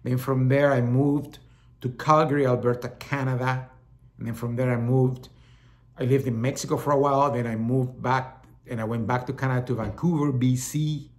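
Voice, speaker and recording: A man's voice: mid-range voice, steady tone, moderate pace. A middle-aged man from El Salvador with a Salvadoran Spanish accent, hosting a talk show in a casual, rambling monologue that often repeats words. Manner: rambling, repeats words, acts out quoted voices.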